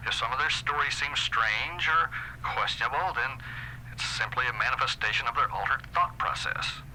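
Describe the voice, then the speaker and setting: A smooth corporate boss with a very bright, sharp-edged voice. He talks down to people in a falsely friendly tone. A faint hiss sits under the audio drama recording. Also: medium pitch, normal speed, poor audio. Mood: patronizing. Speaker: employer.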